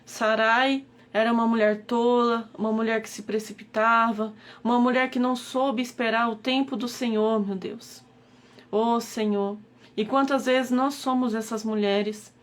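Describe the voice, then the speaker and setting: Brazilian Portuguese woman, slightly high voice, pleading devotional prayer leader who keeps invoking God. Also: level tone, a little slow. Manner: pleading, repeated invocations of God. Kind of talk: devotional live-stream prayer.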